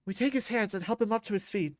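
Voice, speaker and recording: A woman's voice: mid-range voice, fast, a little mellow, no room sound. A woman reading a visual novel aloud as a narrator.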